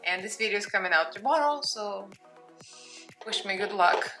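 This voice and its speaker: A woman's voice: medium pitch, expressive, normal speed, music in the background, rough recording. Chatty woman with a Russian accent. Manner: chatty, self-mocking, candid. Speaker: makeup vlogger. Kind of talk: casual tutorial chat.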